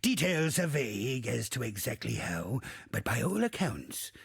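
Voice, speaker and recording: An actor playing a bawdy, wistful old ghost in a video game, theatrical and drawling, with a gravelly, rasping voice. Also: male voice, mid-range voice, sing-song, a little slow, no room sound, studio quality, full character voice. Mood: yarn-spinning. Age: older. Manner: theatrical, salty archaic pirate talk, swooping and drawling. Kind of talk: video game character monologue.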